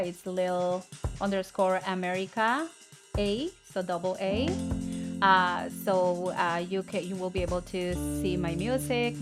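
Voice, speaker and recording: A middle-aged woman from El Salvador, a singer-songwriter talking earnestly in a casual conversation, with many halting fillers. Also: on the low side.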